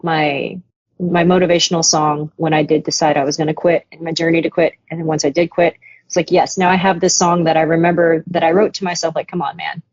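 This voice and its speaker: A woman's voice: lowish voice, no echo. A woman musician being interviewed, talking frankly and reflectively, swearing casually now and then. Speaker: musician guest. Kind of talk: candid interview talk. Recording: dull, dry podcast audio.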